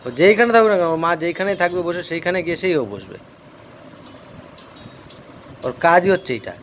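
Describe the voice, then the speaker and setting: Higher-pitched man chatting over background noise. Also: expressive, moderate pace. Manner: repeats short phrases. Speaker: family vlogger. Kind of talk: casual home vlog chat, talking to viewers. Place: at home with a small child.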